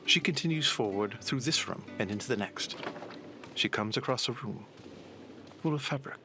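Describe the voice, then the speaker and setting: An actor narrating an adventure audio drama in a deliberate, descriptive manner. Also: male voice, mid-range voice, animated, over music, close to an everyday voice. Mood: measured.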